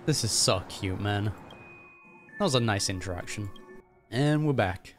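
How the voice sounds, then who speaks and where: A man's voice: fairly low pitch, expressive, unhurried, faint music behind. A man giving casual, slangy, off-the-cuff commentary as he reacts to a video game. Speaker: gaming reaction host.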